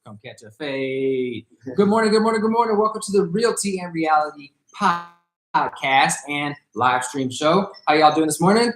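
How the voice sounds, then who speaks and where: A man's voice: a little high, expressive, normal speed, echoey room, noisy background. A man in his thirties hosting a casual podcast as a realtor, joking and laughing often as he talks. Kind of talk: casual chat.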